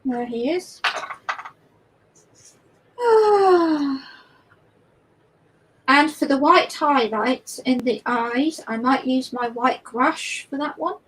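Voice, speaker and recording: Hoarse woman chatting while she paints on a stream, high voice, stumbles and repeats herself a lot. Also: lively intonation, poor audio. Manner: chatty, halting, repeats words. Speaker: guest artist demonstrating painting. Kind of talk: casual chat while painting live.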